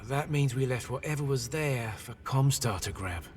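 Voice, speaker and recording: An actor playing an indignant, defensive tech operative in a video game, arguing excitably. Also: male voice, mid-range voice, moderate pace, lightly acted. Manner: excitable, argumentative. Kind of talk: dramatic video game acting. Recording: long reverb, music underneath.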